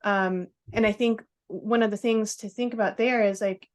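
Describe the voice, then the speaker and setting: A woman's voice: mid-range voice, fairly even intonation, moderate pace. A woman speaking as an interview guest, explaining things carefully and casually, often checking with a short tag question. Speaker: podcast guest, non-diet nutrition professional.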